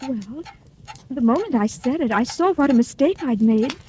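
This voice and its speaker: A woman's voice: high voice, expressive, normal speed, darkish tone. An actress playing a scheming young widow who poisoned her husband, with 1940s radio acting. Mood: coaxing. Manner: coaxing, then scornful. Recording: faint hiss, old radio broadcast.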